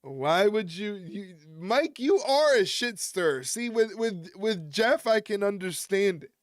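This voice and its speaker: A man's voice: high voice, animated, takes it slowly, dry recording, studio quality. A man hosting a wrestling live stream, rambling and joking with his chat and often chuckling. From United States.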